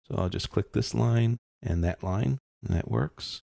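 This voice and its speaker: A man giving an informal step-by-step software tutorial, explaining casually as he thinks aloud. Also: fairly low pitch, a little slow, creaky, no room sound, clean studio sound.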